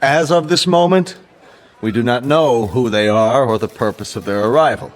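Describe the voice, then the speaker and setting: An actor playing the UN Secretary General, giving formal official statements in a radio drama. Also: male voice, medium pitch, natural voice. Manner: formal official address, halting at times.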